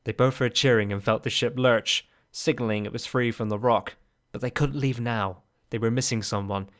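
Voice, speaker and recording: A man's voice: medium pitch, fairly even intonation, moderate pace. A man reading scripted third-person narration for an amateur audio drama.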